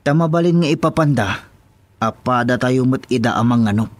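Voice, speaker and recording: A man's voice: mid-range voice, fairly quick, no echo, natural voice. An actor playing a cautious, scheming henchman in a radio drama, speaking with an Ilocano accent. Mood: scheming, impatient. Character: scheming henchman, cautious and loyal to his boss.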